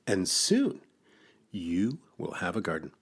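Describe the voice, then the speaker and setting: A man's voice: low-pitched, wildly swooping pitch, slow pace, creaky, slightly rough, exaggerated voice. A man voicing a sensible, kindly frog in a children's storybook, patiently giving advice. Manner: patient, advising.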